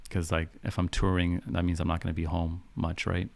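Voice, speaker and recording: A man in his thirties with a low voice and a gravelly, creaky edge. He talks casually as a live stream host, affirming his points by repeating them and checking that people follow. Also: completely flat delivery, fairly quick, darkish tone. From Canada, grew up in Vancouver. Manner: affirming, repeats phrases, punctuates with "you understand?". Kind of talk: casual live stream chat answering viewer messages.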